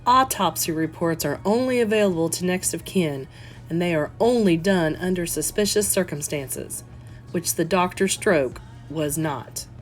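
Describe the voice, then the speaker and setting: A woman voicing a guarded, gruff but courteous male police detective in an audiobook, measured and terse. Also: fairly low pitch, soft background music, lightly acted, poor audio. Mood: suspicious. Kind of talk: audiobook character voice.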